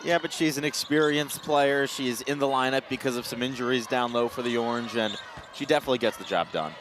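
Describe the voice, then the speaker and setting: A man's voice: medium pitch, brisk. A man giving conversational live sports analysis as a color commentator. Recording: noisy gym ambience, bouncing balls. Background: basketball game noise.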